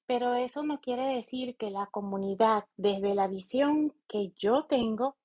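A Venezuelan woman hosts a podcast in a fairly high voice. She speaks very slowly and haltingly, thinking aloud in an informal, reflective monologue. The sound is slightly dull. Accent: Venezuelan Spanish. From eastern Venezuela. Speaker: podcast host.

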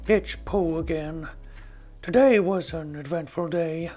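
A man doing an impression of a gentle, simple-minded cartoon bear who loves honey, reading a diary aloud. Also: a little high, takes it slowly, soft background music. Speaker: podcast host. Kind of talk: amateur storybook impression.

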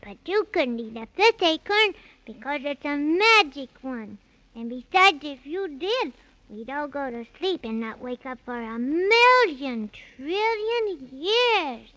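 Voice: sits high, big pitch swings, slow pace, bright, forceful tone, full character voice, rough recording. A performer playing an earnest, plucky six-year-old boy with childlike scolding and pleading, in 1930s children's radio drama style. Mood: indignant.

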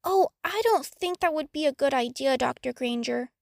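A woman's voice: high voice, expressive, normal speed, gravelly, a little mellow, no room sound, studio quality. A woman voicing a polite, eager, evasive young boy wizard in a storybook reading.

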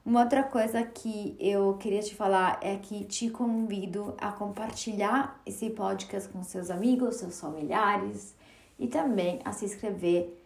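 A woman's voice: medium pitch, unhurried, gravelly. A woman in her thirties who hosts a podcast, speaking Portuguese with a light Italian accent and a chatty, emotional manner. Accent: Italian-tinged Portuguese. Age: 30s. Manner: chatty, emotional, with filler words and restarts. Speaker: podcast host. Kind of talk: casual solo podcast talk.

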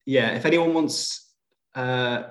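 A man's voice: medium pitch, slow pace. Chatty self-deprecating man, roomy sound. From Liverpool, England. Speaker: podcast guest, GP. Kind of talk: casual conversation. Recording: roomy echo.

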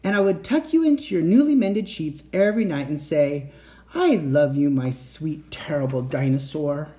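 A man doing a soft, doting mother. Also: fairly high pitch, animated, normal speed, close to an everyday voice. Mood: tender. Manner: soothing, tender reassurance.

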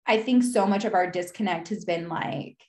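A woman's voice: on the low side, moderate pace, a little mellow. A young woman from the United States, a podcast guest speaking candidly in a casual, reflective way, with lots of "like" fillers. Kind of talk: candid conversational interview.

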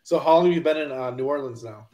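A man co-hosting a podcast, asking quick, informal questions with "like" and "um". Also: medium pitch, mellow. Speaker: podcast co-host. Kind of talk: casual interview questions.

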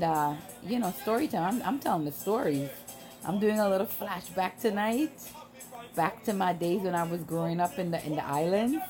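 A woman's voice: lowish voice, a little mellow. A woman in her late thirties from Trinidad, chatting casually and familiarly to livestream viewers as she tells stories. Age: late 30s. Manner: chatty, familiar, addresses the audience often. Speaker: livestream host. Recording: music playing behind the voice. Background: background music.

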